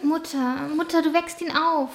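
A woman's voice: high-pitched, fairly even intonation, moderate pace, a little hiss or hum. A woman in a German radio play acting a thirteen-year-old girl who is guarded and soothing, answering in short, plain words. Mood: pleading.

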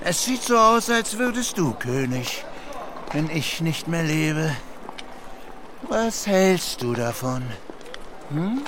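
Radio play villain: stern usurper king, high-pitched, slow and deliberate, condescending, music underneath. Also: very animated, background noise. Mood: condescending, probing. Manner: condescending, domineering, bullying. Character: stern, menacing royal uncle, a usurper king. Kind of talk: children's radio play acting.

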